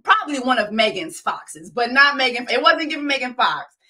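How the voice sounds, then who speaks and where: A woman's voice: high-pitched, brisk. A woman interviewing a guest in a playful, chatty way. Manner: playful, expressive questioning. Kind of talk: casual interview chat.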